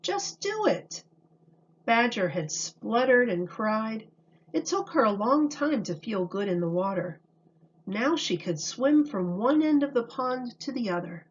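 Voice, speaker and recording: A woman reading a children's story aloud like a teacher, in a deliberate, expressive way. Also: fairly high pitch, highs cut off.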